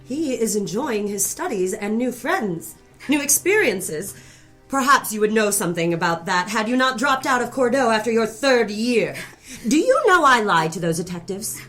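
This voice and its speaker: A woman's voice: a little high, very animated, normal speed, a bit bright, soft background music. An actress playing a cruel, haughty middle-aged mother in a noir audio drama, scolding and condescending. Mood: indignant, stern. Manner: imperious, scolding, condescending endearments. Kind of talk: noir radio drama acting.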